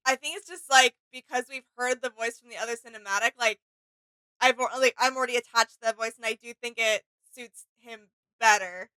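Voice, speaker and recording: A woman's voice: on the high side, fairly quick, pushed and bright, no echo, low-quality recording. A woman giving casual, conversational commentary as co-host of a reaction video.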